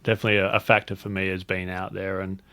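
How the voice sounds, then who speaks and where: A man hosting a casual podcast chat, relaxed and agreeable, often murmuring short acknowledgements. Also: low-pitched, quick pace, smooth. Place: studio.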